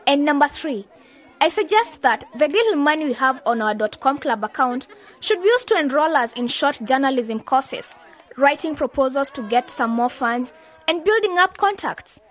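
High-voiced, bossy young woman. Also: animated. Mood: bossy, decisive. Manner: brisk, scolding, no-nonsense. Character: bossy, focused young woman, aspiring radio journalist. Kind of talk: radio drama acting.

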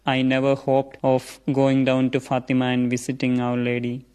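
A man's voice: mid-range voice, completely flat delivery, normal speed. A young man from India with an Indian accent, a seminarian answering interview questions in earnest, formal phrasing.

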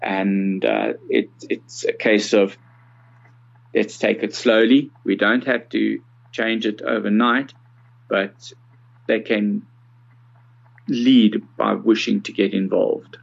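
A South African man in his sixties with a lowish, gravelly voice. He explains things in a measured, conversational way as an interview guest. The recording is slightly dull and muffled. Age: 60s. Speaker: interview guest, accessibility consultant.

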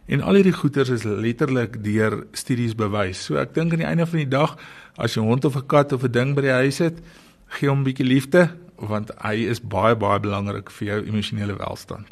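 Man, radio guest, mid pitch, earnest, repeats words. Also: a little fast, creaky. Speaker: studio guest. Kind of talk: radio interview.

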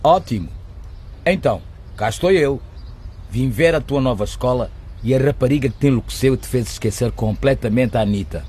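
A man's voice: medium pitch, brisk, mellow, slight background noise, close to an everyday voice. A man playing a pompous, self-important middle-aged executive father in a radio drama. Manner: pompous, chuckling.

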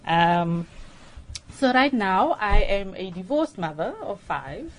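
A woman's voice: medium pitch, big pitch swings, takes it slowly, a little hiss or hum. A woman in her forties from South Africa, a radio guest telling her life story candidly with nervous fillers. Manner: candid, nervous, self-deprecating, many fillers. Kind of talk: personal story in a radio interview. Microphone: studio microphone.

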